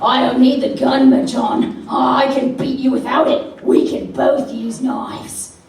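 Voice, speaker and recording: A man's voice: extremely high pitch, fairly even intonation, slow, creaky, heavily acted. A man playing a gloating, unhinged action villain in a live radio play parody, taunting and theatrical. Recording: very echoey hall, distant mic, faint noise.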